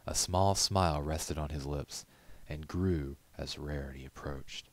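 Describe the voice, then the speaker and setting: A man's voice: very deep voice, a little slow. A man reading a story aloud as scripted storybook narration. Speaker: amateur story reader.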